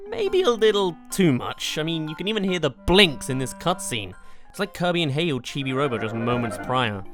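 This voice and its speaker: A narrator presenting a scripted countdown review with emphatic, expressive delivery. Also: mid-range voice, normal speed, faint music behind. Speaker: video game reviewer, host.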